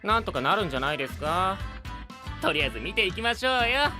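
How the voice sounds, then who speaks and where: An actor playing a courteous but sly and teasing young knight with mock-sweet melodrama, in an anime audio drama. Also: male voice, high-pitched, very animated, fast, music in the background. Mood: playful.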